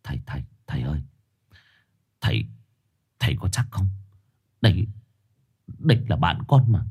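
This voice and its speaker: A man's voice: mid-range voice, sing-song, normal speed, warm tone, slightly rough, pitched above the performer's own voice. A man voicing a frightened teenage boy who stammers and trembles. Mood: scared.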